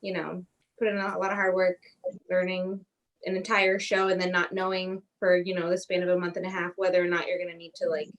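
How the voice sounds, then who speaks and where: A woman's voice: lowish voice, completely flat delivery, brisk, recorded from a distance. A woman chatting casually as a panelist, recorded away from the microphone. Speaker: roundtable panelist, theater artist. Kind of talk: casual chat.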